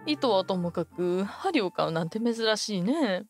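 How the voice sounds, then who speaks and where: ボイスドラマで、世話好きで気さくな中年の女店主を演じる女性。. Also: female voice, mid-range voice, animated, a little slow, soft background music. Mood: warm, chatty. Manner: homely, auntie-like speech. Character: kindly, hearty middle-aged woman shopkeeper. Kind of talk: Japanese voice drama acting.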